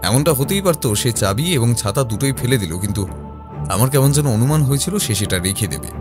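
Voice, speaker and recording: A man playing a composed detective who recounts his investigation in a measured, explanatory first-person narration. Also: medium pitch, lively intonation, natural voice. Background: background music.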